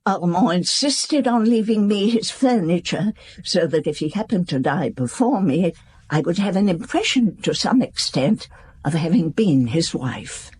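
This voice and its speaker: An elderly noblewoman, slow and husky, with expressive rise and fall. She is gracious, composed and wistful, every phrase formal, in radio drama style. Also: lowish voice, full character voice. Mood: resolute, wistful. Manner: formal, composed, unhurried, frank. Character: gracious, dignified, wistful elderly female aristocrat.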